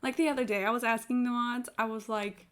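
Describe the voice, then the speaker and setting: A woman's voice: fairly high pitch, quick pace. A woman livestreamer chatting casually during a game, telling rambling anecdotes in a blunt, sweary way full of filler "like"s. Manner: blunt, sweary, animated storyteller, frequent "like". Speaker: live streamer. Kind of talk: casual gaming stream chat, rambling anecdotes. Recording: game music playing behind the voice. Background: video game music.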